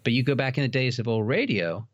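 A man's voice: mid-range voice, fast talker, dry recording. A man speaking as a podcast guest, chatting casually and knowledgeably like an expert on his subject. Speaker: podcast guest, old-time radio horror expert. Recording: dull lo-fi, dry sound.

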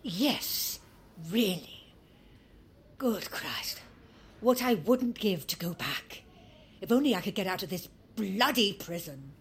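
Naughty old british grandma in a care home, sardonic and grand, very animated, noisy room behind. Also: female voice, mid-range voice, takes it slowly, long pauses. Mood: restless, wistful. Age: older. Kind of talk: audio drama acting.

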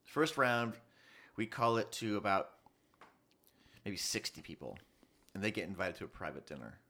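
A man in his mid-thirties with a fairly low, bright and forceful voice. He talks in a loose, slangy, conversational way, swears casually and tells stories by acting out the dialogue. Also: normal speed. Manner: animated storytelling with acted-out quotes, slangy with swearing. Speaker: college student in a business fraternity. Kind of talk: casual chat, storytelling.

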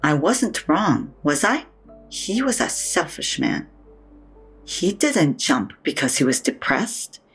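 A woman's voice: sits low, sing-song, takes it slowly, breathy edge, faint music behind, exaggerated voice. A woman playing a blunt, sardonic older mother in an audio drama, with a frank, dry way of talking. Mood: wry, frank. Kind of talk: naturalistic audio drama acting.

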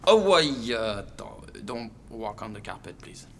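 An actor playing a controlling, fussy fiancé, smug and coaxing in an audio drama. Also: male voice, on the low side, big pitch swings, unhurried, fairly bright tone, slight background noise, full character voice. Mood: smug, proud. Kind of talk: naturalistic audio drama acting.

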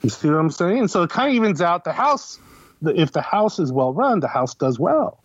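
A man's voice: a little high, very animated, moderate pace, breathy edge, no echo. A man talking casually as an interview guest, conversational, often posing questions and answering them himself. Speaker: guest, critic and editor. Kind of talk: casual explanatory interview talk. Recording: slightly dull, lo-fi podcast audio.

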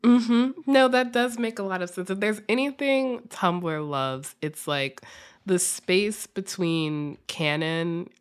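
A woman's voice: mid-range voice, slow pace, smooth. A woman hosting a casual podcast interview, speaking informally and reacting expressively. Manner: informal, peppered with "like". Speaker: podcast host.

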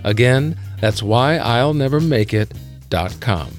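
A man's voice: medium pitch, lively intonation, a little slow, over loud music. A middle-aged man hosting a podcast, moving between prepared narration and interview talk. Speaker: podcast host.

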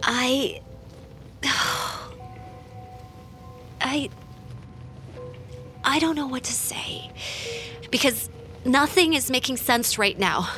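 A high, slow-paced voice carries a shaken young woman in grief. She is halting and emotional, a rich man's daughter in a naturalistic audio drama, with faint music underneath. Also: lively intonation, pushed and bright, exaggerated voice. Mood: bewildered. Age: young adult.

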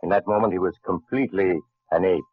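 A man acting a grave, formal doctor who narrates uncanny tales in an old radio drama, speaking deliberately. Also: sits low, fairly even intonation, natural voice. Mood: grave, ominous. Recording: muffled old radio broadcast.

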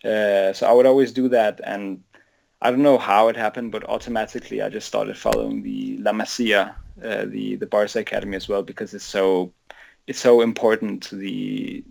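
A man in his twenties from Denmark, a native Danish speaker, answers questions as a podcast guest. His voice is fairly low with plenty of fry. He talks casually and haltingly, doubling back on words. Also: a little mellow, rough recording. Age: 20s. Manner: hesitant, halting, repeats words. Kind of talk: casual interview chat.

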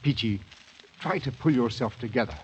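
An actor playing a sober, articulate gentleman who presses with questions, in 1940s radio drama style. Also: mid-range voice, lively intonation, moderate pace, lightly acted. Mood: insistent. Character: sober, probing gentleman listener questioning a broken man. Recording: muffled old radio recording, faint noise.

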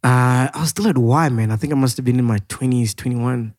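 A man's voice: mid-range voice, fairly quick, a little mellow. A young man hosting a podcast, chatting casually and teasing, often laughing and saying "bro". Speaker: podcast host.

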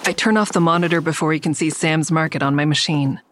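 An actress plays a young woman amateur sleuth in a fiction podcast. Her voice sits a little low, and she sounds anxious yet determined, speaking in a natural, understated way. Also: fast, noisy background, natural voice. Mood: confiding. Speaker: lead character and first-person narrator. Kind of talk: naturalistic audio drama acting.

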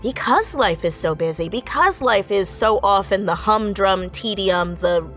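Woman, late twenties, earnest and effusive, reflective interview talk, mid-pitched voice, faint music behind. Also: lively intonation, measured pace, a bit bright. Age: late 20s. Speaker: audio drama writer and voice actor.

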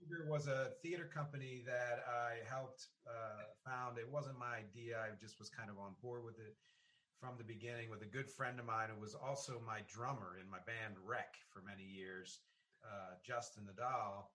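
A man's voice: medium pitch, fairly even intonation, normal speed, pushed and bright. An American man hosting a casual interview, rambling and often cutting into his own sentences. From South Jersey, United States. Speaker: podcast host and interviewer.

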